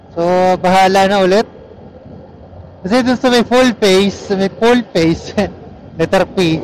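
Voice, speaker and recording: A man's voice: sits high, low-quality recording. A man with a Filipino accent chatting casually and easygoing in Taglish as he vlogs from inside a motorcycle helmet. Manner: easygoing Taglish code-switching. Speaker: motorcycle vlogger. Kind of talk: casual rambling vlog chat while riding. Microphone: helmet intercom or action camera mic. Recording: helmet mic with engine and road noise.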